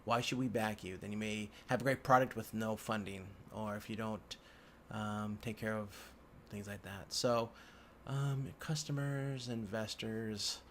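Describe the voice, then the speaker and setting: A man giving an informal tutorial as an instructor, thinking aloud in halting phrases with frequent restarts. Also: lowish voice, fairly even intonation. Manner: halting, hesitant phrasing with repeated word restarts. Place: indoors at a computer. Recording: clear background noise, keyboard typing.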